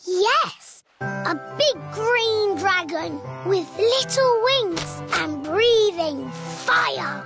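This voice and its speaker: A woman's voice: very high-pitched, lively intonation, very slow, gravelly, heavily acted. A woman voicing a cheerful, bossy little girl pig in a children's cartoon, telling stories expressively with a British accent. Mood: storytelling. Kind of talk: children's cartoon dialogue and bedtime storytelling. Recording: music behind the voice. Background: children's music.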